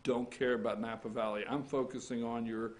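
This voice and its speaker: A man's voice: mid-range voice, fairly even intonation, fairly quick. A man hosting a casual morning talk show, teasing his co-host and steering the chat. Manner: teasing, banters and interrupts.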